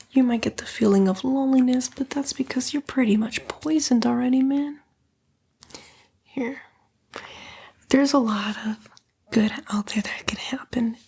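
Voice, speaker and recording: A woman voicing a sly female demon in a whispered, breathy ASMR roleplay, coaxing and taunting the listener in a casual way. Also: high-pitched, unhurried, dark tone, full character voice.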